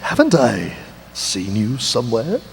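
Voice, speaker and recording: A man voicing a pompous superhero with a deep voice in a live comic script reading. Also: wildly swooping pitch, measured pace, gravelly, slightly rough, exaggerated voice. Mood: stately puzzlement. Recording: echoey hall, off mic.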